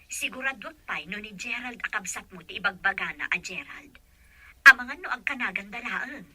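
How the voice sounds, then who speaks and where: A woman's voice: medium pitch, lively intonation, moderate pace, bright, forceful tone, breathy edge, exaggerated voice. A woman with a Filipino accent acting a caring, determined mother in an audio drama.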